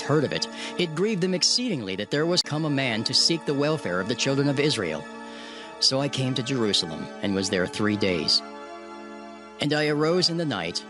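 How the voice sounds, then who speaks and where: A man narrates scripture in an earnest, prayerful first-person voice at a middle pitch. A clear music underscore runs beneath his reading throughout. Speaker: narrator voicing Nehemiah.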